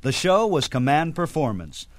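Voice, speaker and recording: A man's voice: fairly high pitch, normal speed, dry recording. Older man, radio host, scripted narration, reminiscing, dry close sound. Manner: reminiscing, steady.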